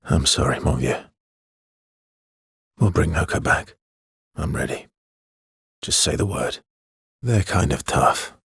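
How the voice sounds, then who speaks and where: A weary video game swordsman with a low, gravelly voice, heavily husky and worn. He talks in an understated, guarded way, with hesitations and a dry, teasing fondness underneath. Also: lively intonation, takes it slowly, pauses often, a bit bright, dry recording, exaggerated voice. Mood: quiet, wry. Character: world-weary adult male expedition swordsman, guarded but wryly affectionate. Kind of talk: video game character dialogue, conversational.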